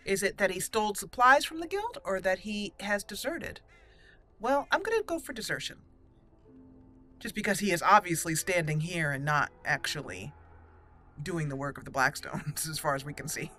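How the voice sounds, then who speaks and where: A woman's voice: fairly low pitch, animated, normal speed, sharp tone, faint music behind. A woman giving casual, chatty commentary as she plays and roleplays a game, talking to the characters. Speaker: host of a roleplay game playthrough.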